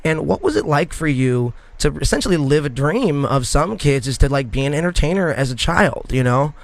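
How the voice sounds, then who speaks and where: A man's voice: a little high, animated, normal speed, gravelly, dry recording. A man in his thirties hosting a podcast interview, talking casually and rambling with many fillers and restarts. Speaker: podcast host.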